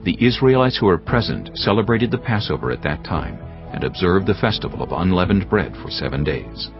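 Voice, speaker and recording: A man narrating scripture from a script in a solemn, measured storytelling manner. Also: sits low, very animated. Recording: dull band-limited sound, music underneath. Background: clear background music.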